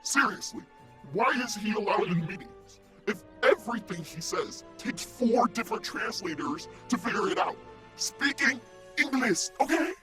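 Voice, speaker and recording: A man's voice: sits high, lively intonation, moderate pace, pushed and bright, faint music behind. A man playing an easily outraged comic supervillain in a radio play, ranting in exasperated disbelief. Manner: ranting, incredulous. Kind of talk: comedic radio play acting.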